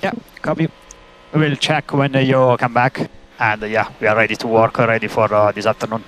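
A man working as a race engineer, giving terse, halting instructions over team radio and repeating key commands. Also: mid-range voice, flat delivery, fairly bright tone. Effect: team radio transmission. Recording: noisy motor-racing team radio.

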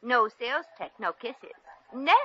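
High, brassy wisecracking young dame. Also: female voice, big pitch swings, a little slow, a bit bright, slightly rough, full character voice. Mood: defiant, sassy. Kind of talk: 1940s radio comedy acting. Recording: muffled vintage radio recording.

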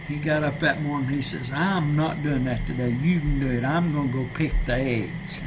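A man's voice: on the high side, fairly even intonation, moderate pace. A man in his sixties with an East Tennessee accent, telling childhood stories in an easygoing, chuckling way in a small library room. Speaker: storyteller. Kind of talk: casual reminiscing. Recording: noisy room recording.

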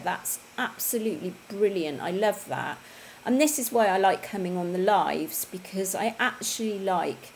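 A woman's voice: fairly low pitch, a little mellow, slight background noise, rough recording. A woman, hosting a casual fitness livestream from home and chatting to viewers. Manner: chatty, conversational, with tag questions. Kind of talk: casual livestream chat reading viewer comments. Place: home room, indoors.

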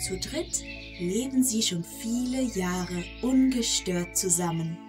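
A woman's voice: medium pitch, slow. A woman narrating a scripted fairy tale for children as storyteller. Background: prominent background music.

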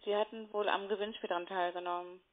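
A woman making an unscripted sales call, brisk and argumentative. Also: medium pitch, flat delivery. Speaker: telemarketing caller. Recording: thin tinny phone line.

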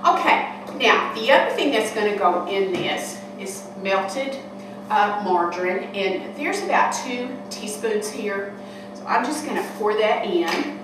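A woman's voice: medium pitch, expressive, unhurried. An older woman in her sixties hosting a cooking show, chatting homespun tips while she works in a large kitchen hall. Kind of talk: casual cooking demonstration. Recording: echoey room from far off, noisy.

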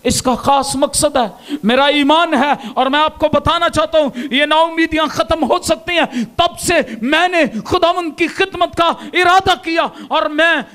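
A middle-aged man with a Pakistani accent, preaching a sermon into a handheld mic on a stage, shouting at the peaks. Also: extremely high pitch, fairly even intonation, a little slow, few pauses. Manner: impassioned preaching, shouting at peaks, raising arms. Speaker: pastor. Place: large indoor hall with stage and pulpit. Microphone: handheld microphone.

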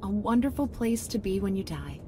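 A woman playing a gentle, patient mother in an audio drama, soothing and reassuring. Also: medium pitch, animated, moderate pace, background noise, natural voice. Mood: gentle, consoling.

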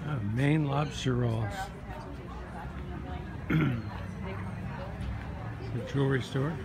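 A man giving a relaxed walking tour, naming shops and restaurants as he passes them. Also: medium pitch, extremely slow, gravelly, crowd noise. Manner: relaxed, pointing out shops as he walks. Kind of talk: casual walking-tour narration. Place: outdoor shopping street. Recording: noisy outdoor street recording. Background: loud street ambience and passing cars.

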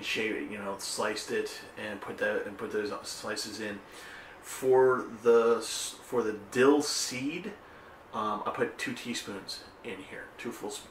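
A man's voice: on the low side, a bit bright, recorded from a distance, a little hiss or hum. A middle-aged man hosting a home cooking video, talking casually with lots of ums and restarts. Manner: rambling and chatty, many ums and restarts; gestures with hands while talking. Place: home kitchen, indoors. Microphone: camera a little way off. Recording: echoey kitchen, voice a bit off-mic.

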